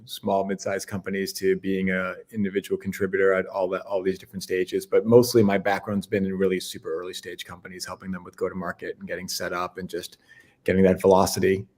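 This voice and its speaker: Low-voiced man with a Boston accent. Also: darkish tone. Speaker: guest. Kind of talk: casual interview.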